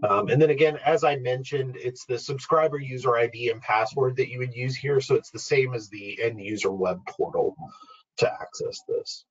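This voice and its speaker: A man presenting an informal, step-by-step software walkthrough in an explanatory, instructional way, as a webinar trainer. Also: mid-range voice, normal speed, lo-fi sound. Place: online webinar screen-share.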